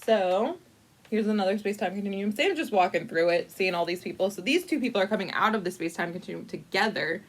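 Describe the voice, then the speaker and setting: A woman's voice: mid-range voice, lively intonation. Playful woman narrating a picture book. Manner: playful, wry asides with mock-dramatic commitment; conversational, frequently pausing to think aloud. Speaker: library storyteller reading a picture book to adults. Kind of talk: casual, improvised picture-book storytime narration.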